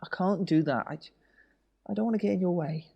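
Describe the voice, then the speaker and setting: A man's voice: sits high, brisk, gravelly, dark tone, slightly rough, dull audio, full character voice. A man playing an anxious, stubborn younger brother and worried husband in a naturalistic audio drama, hesitant and earnest. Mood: subdued, reluctant.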